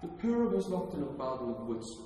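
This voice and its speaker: Audio drama villain, male actor: playful, menacing, mock-sweet criminal genius, very animated, drenched in hall echo. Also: a little high, brisk, soft background music. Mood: taunting. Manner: taunting, theatrical, mock-affectionate. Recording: very echoey, distant, noisy hall.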